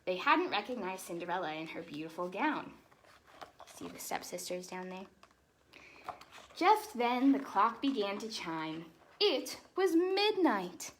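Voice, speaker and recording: A woman reading a children's storybook in an expressive, animated way, with explanatory asides to young listeners. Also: mid-range voice, a little slow, a little hiss or hum.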